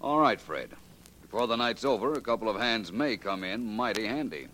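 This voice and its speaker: An actor playing a composed, shrewd detective with a measured, urbane manner, in 1940s radio style. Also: male voice, lowish voice, lively intonation, natural voice. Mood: composed, decisive. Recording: noisy old 1940s radio recording.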